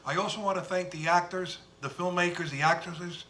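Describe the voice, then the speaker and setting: A man's voice: sits high, steady tone, brisk, sharp tone, a little hiss or hum. A man, a city commissioner, giving a polite short thank-you speech with a few small stumbles.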